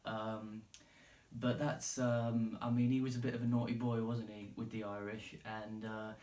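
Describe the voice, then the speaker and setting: A man's voice: fairly low pitch, flat delivery, unhurried, a little mellow. Sweary Englishman podcasting in an echoey room. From England. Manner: rambling, informal, sweary. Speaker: podcast host giving his opinion. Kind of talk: casual, unscripted solo podcast talk. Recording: roomy, echoey, distant from the mic.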